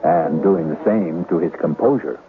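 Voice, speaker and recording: A man's voice: sits low, normal speed, phone-quality sound, close to an everyday voice. A man narrating a scripted radio drama in a dramatic style. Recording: band-limited old radio recording, faint noise.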